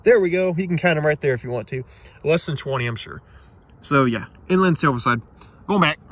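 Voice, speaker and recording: Young guy with a slightly high voice, hyped and slangy, filming himself micro fishing a marsh at night. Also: lively intonation, brisk, fairly bright tone. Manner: enthusiastic, chatty, slangy. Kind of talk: casual outdoor vlog narration. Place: tidal marsh at night, outdoors.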